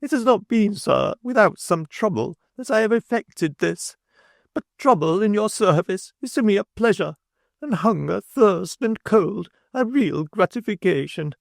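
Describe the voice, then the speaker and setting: A man doing a shrill, stately matron. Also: animated, a little slow, gravelly, no room sound, clean studio sound, exaggerated voice. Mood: formal, self-satisfied. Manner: shrill, high, stately and overbearing. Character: pompous, proper middle-aged woman, triumphant and officious.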